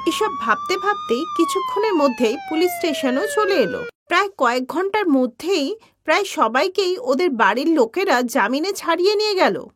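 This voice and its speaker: A woman with a Bengali accent reading a storybook in an even, dramatic way. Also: very high-pitched. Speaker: narrator. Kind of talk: scripted storybook narration.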